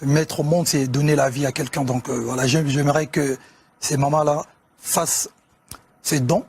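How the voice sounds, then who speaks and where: A man from Congo, speaking as a guest musician on a TV sports show, measured and often hesitating. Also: fairly high pitch, fairly even intonation, darkish tone, husky. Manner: measured, with frequent hesitations and restarts. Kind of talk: casual TV interview talk.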